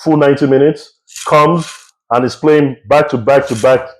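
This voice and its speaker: A man's voice: medium pitch, steady tone, moderate pace, rough recording. A man co-hosting a football podcast in casual chat, emphatic and fond of repeating his catchphrases. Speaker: podcast co-host.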